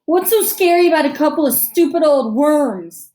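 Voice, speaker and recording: A rude schoolboy sneers and scoffs at everything, as a woman reading a storybook puts him on. The voice sits a little high, pushed loud and mocking, with plenty of scorn. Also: animated, moderate pace, poor audio. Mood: sneering.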